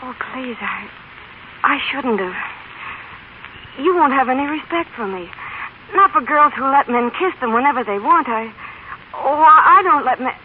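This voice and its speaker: An actress in an old radio drama playing an eager, unsure small-town girl in love, speaking earnestly and haltingly and correcting herself. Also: high-pitched, lively intonation. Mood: flustered. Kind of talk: 1930s radio drama acting. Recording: old radio broadcast, tinny, noisy.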